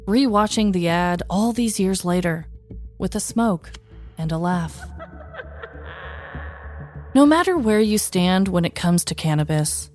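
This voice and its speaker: A woman's voice: mid-range voice, darkish tone. An American woman in her thirties narrating a scripted comedic story as a podcast host, with a wry, dramatic delivery. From United States. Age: 30s.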